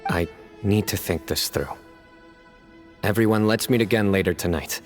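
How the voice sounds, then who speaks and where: An actor playing a stern young male desert warrior, calm, measured and resolute. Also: sits low, natural voice. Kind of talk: game cutscene voice acting. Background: faint game music.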